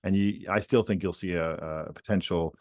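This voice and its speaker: A man's voice: low-pitched, steady tone, a little fast, a little mellow, no echo, studio quality. A man co-hosting a casual political chat podcast, rambling with many restarts and repeated words. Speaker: podcast co-host.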